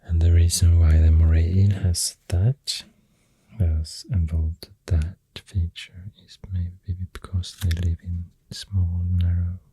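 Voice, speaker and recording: A soft-spoken ASMR host with a Swedish accent, rambling gently through facts in a hushed, breathy, whispery voice with unhurried pauses, close to the microphone. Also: sits low, level tone, slight background noise. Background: pencil writing and clicking sounds.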